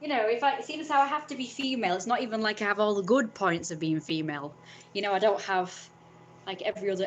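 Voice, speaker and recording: A woman's voice: a little high, slight background noise. A young woman, talking in a frank, rambling vlog monologue and swearing freely.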